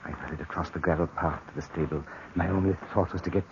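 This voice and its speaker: A man narrating in the first person for an old radio drama, tense and breathy in places. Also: sits low, fairly even intonation, fast. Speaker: narrator and lead. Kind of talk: 1940s radio acting. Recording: dull old radio recording with hiss.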